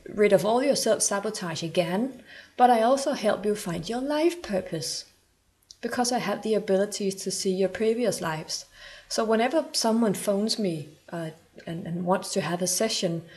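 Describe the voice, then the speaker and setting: A woman's voice: mid-range voice, a little mellow. A woman from Denmark with a Danish accent, speaking English as a second language and talking earnestly to camera as a spiritual coach, sometimes searching for words.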